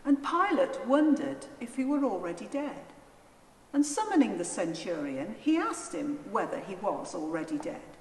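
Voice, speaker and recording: A woman narrating a Gospel in a solemn, measured and deliberate reading style. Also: mid-range voice, big pitch swings. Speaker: scripture reader, narrator. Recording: echoey church hall, faint noise.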